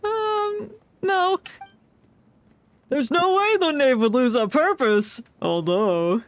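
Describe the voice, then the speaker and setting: A woman's voice: high-pitched, slow pace. A woman streamer giving casual, playful, joking commentary on a game she plays with a friend.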